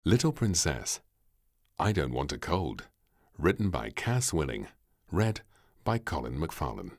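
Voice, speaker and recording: A man narrating a scripted children's story in an expressive, deliberate storytelling manner. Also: rumbling low.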